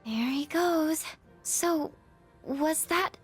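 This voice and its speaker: A woman voicing a gentle, curious, polite teenage schoolgirl in a video game. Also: high-pitched, extremely slow, soft background music. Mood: wondering.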